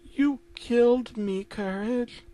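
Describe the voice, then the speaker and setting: Man putting on a high-pitched gentle old cartoon wife. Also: slow, no room sound. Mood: sickly, eerie.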